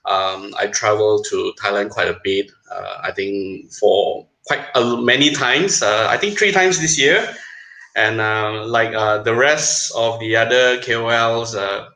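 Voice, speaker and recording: A man's voice: lowish voice, fairly even intonation, measured pace, bright, forceful tone. A man from Malaysia with a Malaysian accent, casually introducing himself as a panel guest on an echoey video call. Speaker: travel blogger, panel guest.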